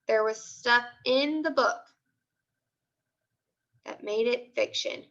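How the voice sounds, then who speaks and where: Woman, high-pitched, bright forceful tone, online class teacher, question-and-prompt delivery. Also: takes it slowly, dull audio. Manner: prompting with repeated questions and short commands. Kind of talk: live online class discussion.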